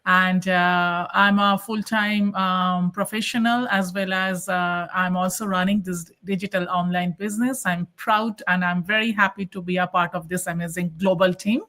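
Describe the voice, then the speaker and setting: A woman from Kolkata, India introducing herself warmly on a live online call, with hesitant fillers. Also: on the low side, fairly even intonation, moderate pace, few pauses. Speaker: panelist. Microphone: video call.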